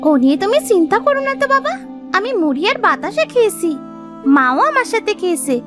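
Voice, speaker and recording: Woman voicing a very high-pitched little girl. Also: sing-song, a little fast. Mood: concerned. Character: caring, dutiful little village daughter. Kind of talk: cartoon fairy-tale voice acting. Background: music.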